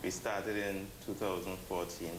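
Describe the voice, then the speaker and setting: A man giving explanatory interview answers in measured, halting phrases. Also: sits low, little pitch movement. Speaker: interview guest, church committee member. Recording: roomy echo with background noise.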